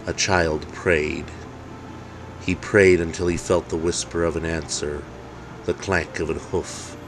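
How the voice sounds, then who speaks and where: Male narrator, very low voice, slow and solemn scripted reading of a dark holiday story, light hum of noise behind. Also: rough recording. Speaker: author reading own story.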